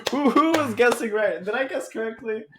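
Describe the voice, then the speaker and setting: A young man co-hosting a podcast, talking casually and a little haltingly, with a teasing, chuckly manner. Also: very high-pitched, a crowd in the background. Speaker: podcast co-host. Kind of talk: casual gamer chat.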